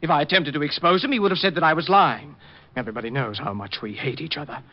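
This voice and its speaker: A man's voice: fairly high pitch, fast talker, natural voice. An actor playing a fussy, easily offended male hat designer in a 1950s radio drama, speaking in a prim, precise way. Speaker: client. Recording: muffled old radio broadcast recording.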